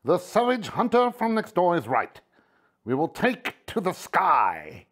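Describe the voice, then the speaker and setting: A woman's voice: fairly low pitch, wildly swooping pitch, measured pace, exaggerated voice. A woman voicing a nervous, bossy male garden bird in a children's story, given to bellowing pompous announcements. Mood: brave bluster.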